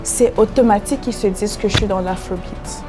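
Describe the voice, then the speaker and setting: A woman's voice: medium pitch, fairly quick, over music. A young woman from Cameroon, a singer-songwriter guest, talking reflectively in a casual interview with many fillers and restarts. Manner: reflective, frequent fillers and restarts, laughs easily.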